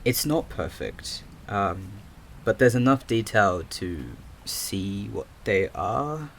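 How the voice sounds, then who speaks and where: A man acting a hesitant psychic investigator in an audio drama, speaking in a halting, earnest, stammering way. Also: fairly low pitch, expressive, creaky, a bit bright, a little hiss or hum, exaggerated voice. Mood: earnest, careful. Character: hesitant young male psychic investigator with lost memories.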